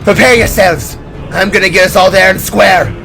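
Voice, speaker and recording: A man voicing a bold, fiery heroine who yells battle cries in an audio drama. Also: high voice, fairly even intonation, moderate pace, gravelly, faint music behind, voice pushed up, full character voice. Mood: fired up. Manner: yelling, bold, forceful.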